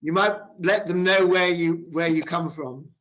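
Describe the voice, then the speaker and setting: A man's voice: a little high, steady tone, unhurried, a bit bright. A man in his fifties moderating a business panel discussion over a video call. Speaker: webinar moderator, business professor. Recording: dull video-call audio.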